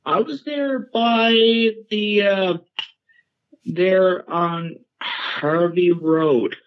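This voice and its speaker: A man giving casual interview answers as a guest, halting and plain-spoken. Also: high voice, lo-fi sound. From born in Norway, raised in Newfoundland, Canada. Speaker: guest, paranormal investigator and medium.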